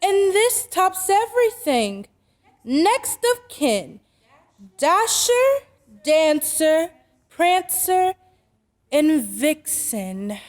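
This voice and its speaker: Actress as high-voiced, no-nonsense working mother. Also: very animated, long reverb tail, heavily acted. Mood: skeptical. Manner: firm, deliberate, proper. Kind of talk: old-time radio drama acting.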